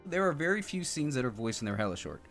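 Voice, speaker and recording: A man's voice: mid-range voice, very animated, fast talker, fairly bright tone. A man co-hosting a game livestream with casual, playful banter, sometimes reading game text aloud. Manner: playful, jokey. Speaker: game streamer co-host. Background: game music.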